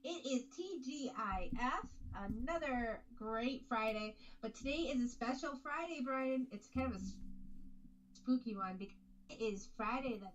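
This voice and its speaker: A woman co-hosting a casual live show, chatty and quick to laugh, congested from allergies. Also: on the high side, animated, far from the mic. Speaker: co-host. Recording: dull lo-fi sound with faint noise.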